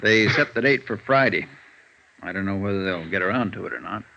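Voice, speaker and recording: An actor playing a resigned, plain-spoken American lawyer in a 1950s radio drama, terse and matter-of-fact. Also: male voice, low voice, lively intonation, fairly quick, gravelly, sharp tone, heavily acted. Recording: muffled vintage radio recording.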